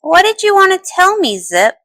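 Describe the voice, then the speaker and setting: A woman voicing a perky, eager young female pony in a cartoon comic dub, emphatic and excitable. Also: very high voice, moderate pace, no room sound, full character voice. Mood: excited, eager.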